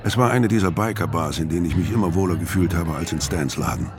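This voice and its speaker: Noir narrator: weary career criminal, deep register, terse crime radio drama delivery. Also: male voice, fast talker. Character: hard-boiled, world-weary male career criminal narrating his story. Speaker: first-person narrator. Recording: music bed under voice.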